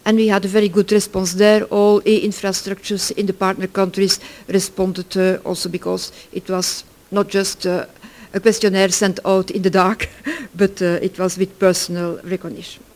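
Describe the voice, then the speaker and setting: A middle-aged or older speaker from Belgium, giving an informal conference presentation in halting, self-correcting English. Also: mid-range voice, flat delivery, darkish tone. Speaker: project work package leader, conference presenter.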